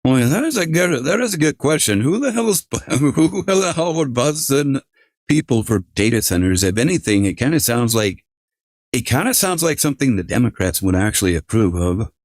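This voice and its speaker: A man livestreaming casual reactions, talking in a halting, repetitive way with some swearing. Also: medium pitch, sing-song, no room sound, clean studio sound.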